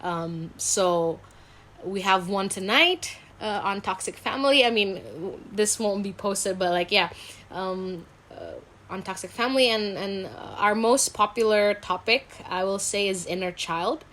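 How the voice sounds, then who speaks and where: A woman's voice: medium pitch, unhurried, fairly bright tone. A woman from Indonesia speaking as a guest psychologist in a casual interview, candid and self-reflective in an informal way. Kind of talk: casual video interview chat.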